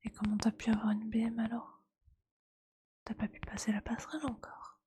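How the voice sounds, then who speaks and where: A woman speaking in a breathy ASMR whisper, chatting casually and with gentle teasing like a streamer talking to viewers. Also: a little high, completely flat delivery, brisk, a little hiss or hum.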